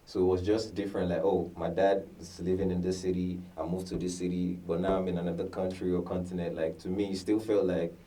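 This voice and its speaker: Deep-voiced man, chatty. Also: fairly quick, hardly any pauses, far from the mic. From Nigeria. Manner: relaxed and chatty, laughs often. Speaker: musician guest. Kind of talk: casual interview.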